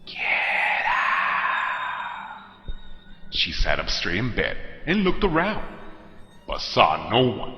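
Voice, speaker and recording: A man's voice: low voice, pushed and bright. A man narrating a scary story in a dramatic, scripted style. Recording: echoey long reverb. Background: music.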